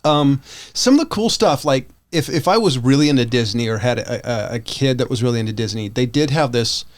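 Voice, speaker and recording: A man's voice: mid-range voice, expressive, brisk. A man co-hosting a podcast in casual banter, often repeating words and restarting his sentences. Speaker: podcast co-host.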